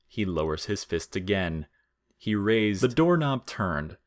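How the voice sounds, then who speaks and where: A man with a low-pitched voice narrates a comic story. He reads from a script in a measured way, keeping the humor deadpan. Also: a little hiss or hum.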